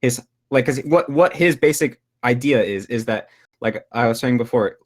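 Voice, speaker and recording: Young man explaining in a halting way. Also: mid-range voice, expressive. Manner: explanatory, hesitant, many fillers and restarts. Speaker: language-learning video creator. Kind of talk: casual discussion. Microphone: video call.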